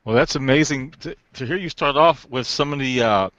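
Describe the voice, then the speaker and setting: A man's voice: mid-range voice, brisk, a bit bright, no echo, muffled recording. Upbeat man hosting an online interview. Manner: upbeat, folksy, with frequent fillers. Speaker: host.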